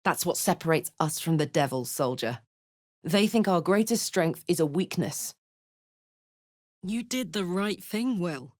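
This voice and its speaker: Fantasy game heroine: hell-escaped warrior, rough-edged and blunt but big-hearted, measured pace, dry studio sound. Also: female voice, lowish voice, expressive, darkish tone, no room sound. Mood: tender, regretful. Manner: blunt, earthy, sweary. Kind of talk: video game character voice acting.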